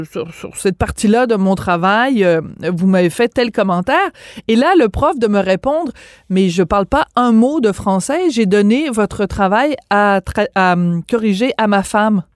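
A woman in her fifties from Quebec with a Quebec French accent, telling a story in a lively, chatty, self-mocking way on a radio show. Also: mid-range voice, no echo, studio quality. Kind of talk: casual storytelling in radio talk.

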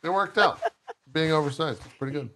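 A young man with a bright, forceful tone and a slightly high voice, chatting on a video call through a headset mic. He jokes around and teases himself, often breaking off mid-sentence to start again. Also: a little fast. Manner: jokey and self-mocking, lots of restarts. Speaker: podcast co-host. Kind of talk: casual chat over a video call. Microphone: headphones with mic on video call.

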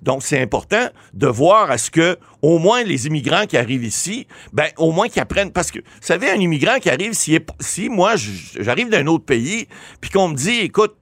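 A man with a Québécois French accent, a lawyer commenting on a talk show, animated and often restarting his words. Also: fairly high pitch, moderate pace, no echo.

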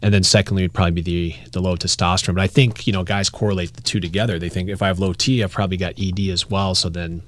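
A man's voice: low voice, flat delivery, fast, few pauses, no echo. A man who is a doctor explaining men's health topics on a podcast in a laid-back way, laughing easily. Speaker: doctor, medical clinic founder. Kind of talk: casual interview chat.

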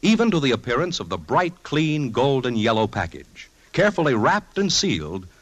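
A man's voice: medium pitch, big pitch swings, normal speed, dry recording. A man announcing a 1950s radio commercial in a polished, emphatic way. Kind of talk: 1950s radio advertisement read.

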